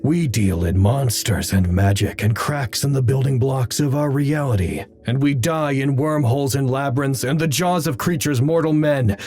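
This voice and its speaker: A man acting in an audio drama as an anguished, guilt-ridden worker at a doomed secret facility, halting and earnest. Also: medium pitch, a little mellow, reverberant, soft background music, lightly acted. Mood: grim, weary.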